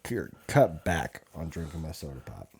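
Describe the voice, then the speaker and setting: A man's voice: a bass voice, sing-song, normal speed, gravelly, husky. A thirty-year-old American man chatting casually as a podcast host, in crude slang full of swearing. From Montana, USA. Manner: crude, profanity-heavy slang. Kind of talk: casual chat.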